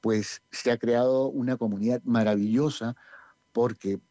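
A man's voice: mid-range voice, steady tone, a little slow, dark tone, no echo, muffled recording. A Peruvian man with a Peruvian Spanish accent who directs a community theatre group, talking in a radio interview and hesitating with many fillers. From Peru.

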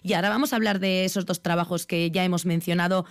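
A woman's voice: lowish voice, fairly even intonation, quick pace, no room sound. A woman hosting a radio interview, asking questions and often giving short backchannels. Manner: attentive, frequent short backchannels. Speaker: radio host.

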